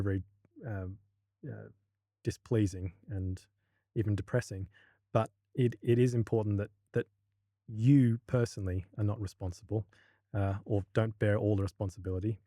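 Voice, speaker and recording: A man's voice: on the low side, dark tone, dry recording, clean studio sound. An Australian man, a university lecturer, talking as a guest in a casual podcast interview, hesitant and full of restarts. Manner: hesitant, many restarts and fillers.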